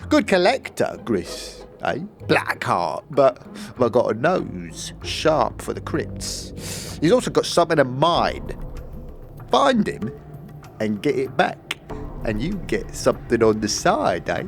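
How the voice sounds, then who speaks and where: Sly old junk trader, wildly swooping. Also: male voice, mid-range voice, wildly swooping pitch, takes it slowly, creaky, soft background music, heavily acted. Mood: scheming. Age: older. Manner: folksy, sly, tags phrases with questioning "eh". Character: wheedling, shifty old male junk trader in a fantasy slum. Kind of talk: fantasy audio drama character acting.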